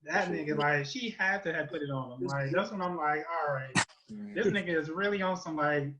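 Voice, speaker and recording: An American man co-hosting a podcast, giving album reviews in casual, profane, animated and exasperated slangy banter. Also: a little high, fairly quick, lo-fi sound, over a noisy crowd.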